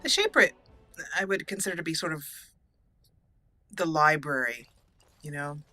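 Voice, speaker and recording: A woman's voice: low voice, animated, moderate pace, bright, forceful tone, soft background music. A woman hosting a let's play, giving playful roleplay commentary and dramatically voicing her character's lines.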